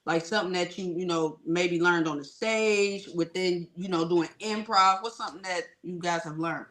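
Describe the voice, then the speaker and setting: A man's voice: sits high, moderate pace, a bit bright. A man with an American accent hosting a casual interview, putting questions to guests. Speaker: podcast host.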